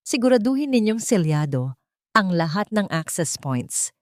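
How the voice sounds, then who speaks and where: A woman voicing a gentle, protective male executive in a narrated love drama, soft-spoken and earnest. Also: mid-range voice, big pitch swings, normal speed, no room sound, clean studio sound, natural voice. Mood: alarmed, protective. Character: gentle, protective man, admiring and serious executive.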